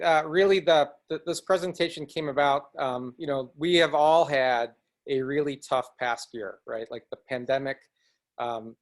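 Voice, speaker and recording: A middle-aged man from the Chicago area, an executive director hosting a webinar over a video call, speaking earnestly and haltingly with frequent restarts. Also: medium pitch. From Chicago area, United States. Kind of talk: presenting in a webinar.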